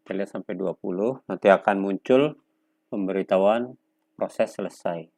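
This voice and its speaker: Guy walking thru a software demo step by step, low voice, pauses a lot between short bits, dry sound. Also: vocal fry, no room sound. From Indonesia. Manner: instructional, halting, pausing between short phrases. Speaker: software tutorial presenter. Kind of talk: unscripted step-by-step screen demonstration.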